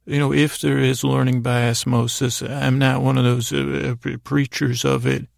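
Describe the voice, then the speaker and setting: Male podcast host, very gravelly fry, flat intonation, soothing, meandering bedtime monologue, halting, self-deprecating. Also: mid-range voice, no room sound, studio quality. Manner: meandering, halting, frequently derailing his own sentences. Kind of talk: rambling bedtime monologue to help listeners fall asleep.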